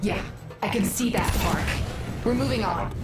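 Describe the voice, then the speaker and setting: Actress as a cold hacker villain, curt and impatient, mid-range voice over loud game music. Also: female voice, medium pitch, moderate pace, close to an everyday voice. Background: loud game score.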